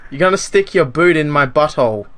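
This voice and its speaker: Deadpan young man making prank calls. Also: a little high, a little fast. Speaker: prank caller. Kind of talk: prank phone call.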